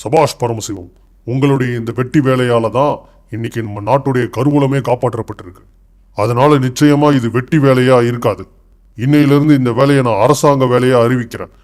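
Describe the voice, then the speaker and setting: A man playing formal, authoritative figures of an old Indian kingdom in an animated moral tale. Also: medium pitch, fairly quick, lightly acted. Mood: approving, regal.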